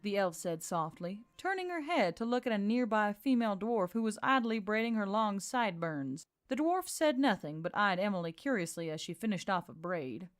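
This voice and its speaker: A woman's voice: mid-range voice, animated, normal speed, hardly any pauses. Woman narrating fantasy over music. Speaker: audiobook narrator. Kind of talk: scripted fantasy story narration. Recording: music bed under the voice.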